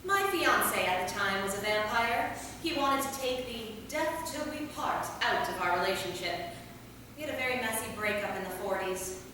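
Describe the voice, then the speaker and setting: A woman's voice: mid-range voice, lively intonation, normal speed, bright, forceful tone. A woman playing a polite, dryly composed immortal vampire in a staged comedy reading. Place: theater, recorded from a distance. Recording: echoey hall, far mic, faint noise.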